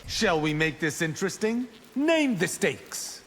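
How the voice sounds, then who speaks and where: A man's voice: sits high, sing-song, a little slow, a bit bright, big-room sound, heavily acted. A man playing a cocky, wisecracking young monk fighter in a video game, confident and bantering. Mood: teasing. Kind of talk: video game character acting.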